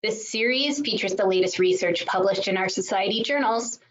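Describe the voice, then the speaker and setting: A woman's voice: medium pitch, moderate pace. A woman opening a webinar as its host, politely reading a scripted welcome and introduction. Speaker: journal manager, host. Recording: dull lo-fi webinar audio.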